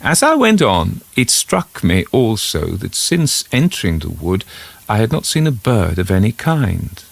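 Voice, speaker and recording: A man reading a scripted first-person literary story as its narrator, in a measured storytelling manner. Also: on the low side, wildly swooping pitch, creaky.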